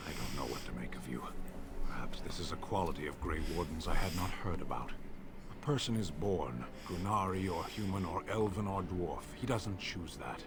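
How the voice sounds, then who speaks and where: A man playing a stoic, blunt Qunari warrior in a video game, speaking in a measured, literal, deadpan way. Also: deep voice. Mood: stern, measured. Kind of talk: video game dialogue acting.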